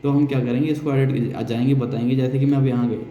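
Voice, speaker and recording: A man's voice: mid-range voice, flat delivery, fast, dull audio. An Indian man giving a hands-on technical tutorial, explaining step by step and repeating key phrases. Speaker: technical instructor. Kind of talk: hands-on spoken software tutorial. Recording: echoey reverberant room, noisy, distant sound.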